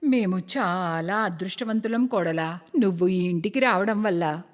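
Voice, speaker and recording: A woman voicing a fretful older mother in a cartoon story, melodramatic and drawn-out. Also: lowish voice, voice pushed down, lightly acted. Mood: pleased. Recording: long hall-like reverb.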